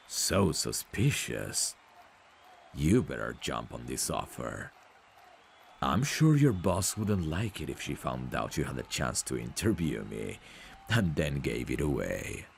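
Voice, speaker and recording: Guy doing a smug boxer dude for asmr roleplay, super deep gravelly voice, boastful n teasing. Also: big pitch swings, takes it slowly, a little hiss or hum, exaggerated voice. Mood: smooth, teasing. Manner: boastful, teasing, self-assured. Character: cocky, smug male prizefighter, proud of his looks. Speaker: voice actor. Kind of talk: scripted ASMR roleplay audio drama.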